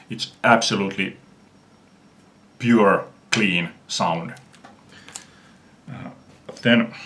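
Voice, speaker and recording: A man from Finland with a Finnish accent, talking earnestly about his music collection in an unhurried video response, pausing often. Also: lowish voice, pushed and bright. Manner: earnest, unhurried, frequent "uh" pauses. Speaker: music fan vlogger.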